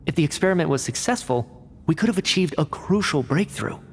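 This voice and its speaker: A cerebral game researcher. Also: male voice, on the high side, animated. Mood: analytical. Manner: measured, analytical explaining. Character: analytical male researcher, measured and cerebral. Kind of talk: video game cutscene voice acting. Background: game score music.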